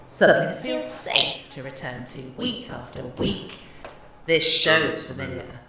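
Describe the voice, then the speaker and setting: A woman's voice: deep voice, sing-song, a little slow, heavy reverb, far from the mic, noisy background. A woman playing an imperious, manipulative witch villain in an audio horror drama, theatrical and commanding. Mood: smug, coaxing.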